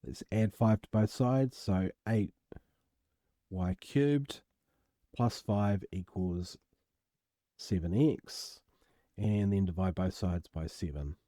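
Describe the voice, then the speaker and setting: A man with a croaky voice, casually working through an algebra exam step by step as a tutor, recorded at home. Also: low-pitched, slow pace, long pauses, mellow, dry recording, clean studio sound. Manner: casual, self-deprecating, explains step by step. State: croaky voice from illness. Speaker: maths tutor. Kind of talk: worked exam walkthrough.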